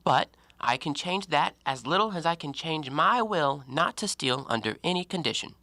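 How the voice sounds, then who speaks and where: A man playing a talkative, educated and self-righteous gentleman antiquarian in an audio drama, given to theatrical, argumentative speeches. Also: a little high, lively intonation, normal speed, no room sound. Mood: firm, reasoning. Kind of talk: stage-play acting in an audio drama.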